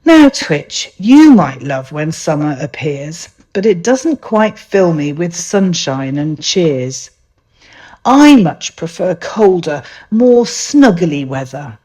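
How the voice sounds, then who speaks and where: A woman voicing a gentle, scatty, dramatic witch in a children's storybook, chatty and full of exclamation. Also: lowish voice, very animated, takes it slowly. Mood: grumbling.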